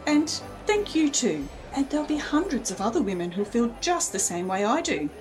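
Woman acting animated, gushing lady shopper. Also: a little high, moderate pace, over music. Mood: gushing, grateful. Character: astonished, gushing lady shopper, excitable and talkative. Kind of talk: old-time radio play acting.

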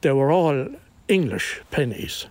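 An older man from County Kilkenny with an Irish accent, telling local memories in a halting, unscripted way outdoors. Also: medium pitch, very animated, a little mellow, no room sound. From Inistioge, County Kilkenny, Ireland. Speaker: local interviewee telling folklore. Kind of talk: unscripted reminiscing. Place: outdoors in estate grounds. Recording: outdoor location recording, clear background noise.

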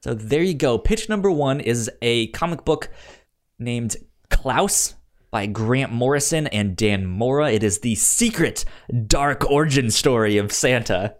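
A man co-hosting a podcast in a casual chat, speaking haltingly and stammering over words as he presents ideas. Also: mid-range voice, expressive. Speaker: podcast co-host. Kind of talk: casual comics pitch chat.

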